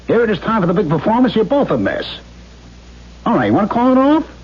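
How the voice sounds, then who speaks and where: A brash carnival hustler in a vintage radio drama. He has a husky voice that swings widely in pitch, coaxing and cocky. The broadcast sound is muffled. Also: wildly swooping pitch, fast talker, full character voice. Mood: exasperated. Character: brash, fast-talking carnival schemer, cocky and coaxing man. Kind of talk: 1970s radio drama acting.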